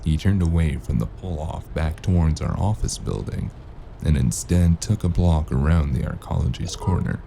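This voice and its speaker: A man playing a weary, gruff detective in a noir audio drama, speaking in a dry, terse, gravelly way. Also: rumbling low, normal speed, warm tone, a little hiss or hum. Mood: brooding narration. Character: weary gruff male noir detective narrating his cyberpunk case. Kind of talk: hard-boiled noir audio drama narration and dialogue.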